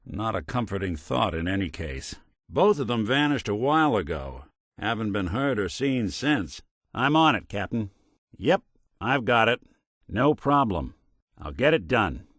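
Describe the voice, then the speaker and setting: Casting: weary American robot private eye for a game, lowish voice, lively swings in intonation, wry and principled. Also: male voice, measured pace, no room sound, studio quality, exaggerated voice. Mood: matter-of-fact. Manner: wry, streetwise, old-time gumshoe delivery. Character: world-weary, wisecracking robot private detective, hardboiled and principled. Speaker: video game voice actor. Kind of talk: scripted game dialogue in a hardboiled noir detective manner.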